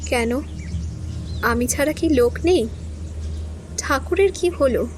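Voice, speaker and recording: An actress playing a young widow who is tired and halting at first, then firm and resentful. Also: female voice, sits high, a little hiss or hum. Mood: weary. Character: young widow, meek but defiant when pushed. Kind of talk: Bengali audio drama acting.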